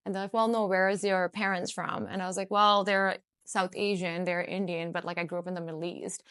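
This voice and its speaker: Young Canadian actress, candid storyteller, lowish voice, close and dry. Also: female voice, little pitch movement, fairly quick, a bit bright, clean tone, no echo. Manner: candid storytelling with quoted dialogue. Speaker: actor. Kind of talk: personal testimony.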